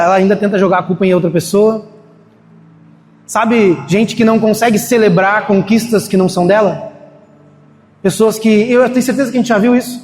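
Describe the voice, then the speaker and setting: A man's voice: high voice, a little mellow, a little hiss or hum. A man preaching a sermon in a church in a casual, storytelling way, acting out the voices of people he quotes. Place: church hall. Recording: echoey hall, faint ambience.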